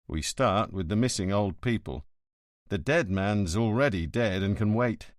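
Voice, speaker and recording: A man's voice: on the low side, unhurried, dry recording, natural voice. A man voicing a brusque, no-nonsense police inspector who speaks curtly and gives orders. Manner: curt, commanding, dry. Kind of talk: audiobook character voice.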